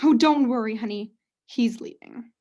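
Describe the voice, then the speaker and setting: A woman's voice: fairly high pitch, normal speed, dark tone, close to an everyday voice. A woman playing a self-absorbed, haughty mother in a student comedy radio play, theatrical and overwrought. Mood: haughty, disdainful.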